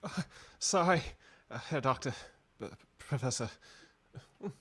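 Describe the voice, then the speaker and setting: A man's voice: mid-range voice, lively intonation, unhurried, slightly rough. A man voicing a timid, stammering nineteen-year-old German demon-like mutant in a radio play. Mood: nervous. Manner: hesitant, stammering.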